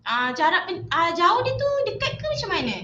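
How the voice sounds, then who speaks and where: A woman's voice: very high-pitched, very animated, moderate pace, bright, forceful tone. A woman hosting a podcast interview, chatty and friendly, repeating "okay, alright" between questions. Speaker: podcast host interviewing a guest. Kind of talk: casual chat interview. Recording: roomy dull lo-fi sound with faint noise.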